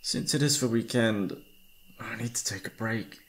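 A man's voice: on the low side, normal speed, close to an everyday voice. A man playing an exhausted, affectionate young boyfriend in an intimate ASMR roleplay, speaking hesitantly with sighs. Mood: weary, reluctant. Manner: tired, sighing, hesitant, soft-hearted.

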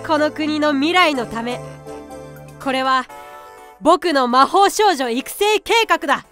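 A woman's voice: high-pitched, takes it slowly, fairly bright tone, soft background music. A woman voicing a small, confident unicorn guardian in an anime-style voice drama, boyish and theatrical. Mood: eager, theatrical. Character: small cute unicorn guardian beast, confident scheming mentor.

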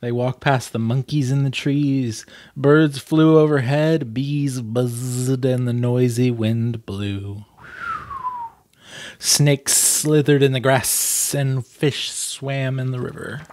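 A man's voice: medium pitch, steady tone, slow, darkish tone, no room sound. Earnest man, close dry mic. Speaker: vlog host and storyteller. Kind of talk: storybook reading and candid commentary. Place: small plain room indoors. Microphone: desk microphone, close.

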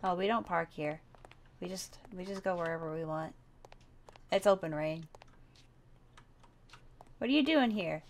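A woman's voice: on the low side, unhurried, long pauses, a little hiss or hum. A woman playing a blunt, curious young survivor in an improvised game roleplay, talking casually.

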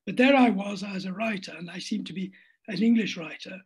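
A man's voice: sits high, fairly quick, husky. An older man speaking in an interview over a video call from home, thoughtful and hesitant, with frequent restarts. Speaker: interviewee, writer. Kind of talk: reflective conversational interview. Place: home room at a desk. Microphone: laptop webcam on a video call.

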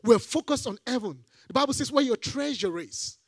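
A man's voice: extremely high pitch, lively intonation, normal speed, husky, no echo, clean studio sound. A middle-aged man preaching a sermon on a church stage into a handheld mic, building to emphatic repeated phrases. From Nigeria.

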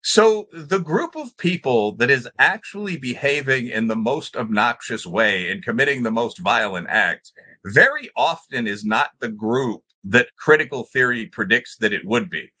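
A man's voice: mid-range voice, sharp tone, husky. A middle-aged man on a panel video call, arguing off the cuff in an academic, discursive and emphatic manner. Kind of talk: extemporaneous panel discussion argument. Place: indoor room with bookshelves, on a video call.

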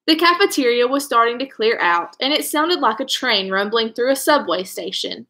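Bright, higher-pitched young woman reading aloud. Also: lively intonation, normal speed. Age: young adult. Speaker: reader reading a children's novel aloud. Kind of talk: read-aloud storytelling. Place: indoor room at home.

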